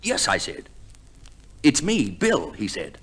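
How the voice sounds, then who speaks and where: An actor playing an exasperated, put-upon husband in an old radio comedy play, blustering and hesitant with a British accent. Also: male voice, mid-range voice, huge pitch swings, slow, fairly bright tone, husky, full character voice. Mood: awkward, deadpan. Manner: blustering, hesitant, drily understated. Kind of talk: 1940s-50s radio play acting.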